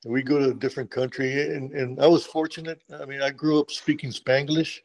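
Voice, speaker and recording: A retired army medic from Texas, telling stories in a relaxed interview with many restarts and a habit of saying "man". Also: medium pitch, a little fast. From Texas, USA. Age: older. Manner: frequent restarts and repeated words, says "man" often. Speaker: guest, retired Special Forces medic. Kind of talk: conversational interview storytelling.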